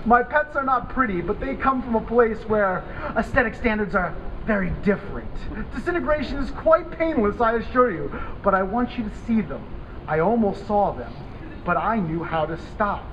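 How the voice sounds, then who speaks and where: A crazed, vindictive scientist played by a man reading aloud rants and gloats in a high-pitched, theatrical voice. He taunts and menaces like a horror story villain. The live recording is dull, with clear background noise. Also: lively intonation, normal speed. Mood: menacing, gloating. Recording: dull, noisy live event camera audio.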